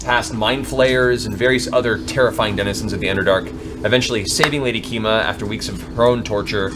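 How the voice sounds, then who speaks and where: A man with a fairly low voice serves as game master for a tabletop fantasy game. He describes scenes in a dramatic storyteller's manner. There is clear background noise around his voice.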